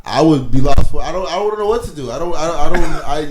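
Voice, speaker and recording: A man's voice: on the high side, lively intonation, fast, a crowd in the background. A man co-hosting a podcast, telling a story with lots of stammering and hesitation. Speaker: podcast co-host. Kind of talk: casual chat. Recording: roomy with clear noise.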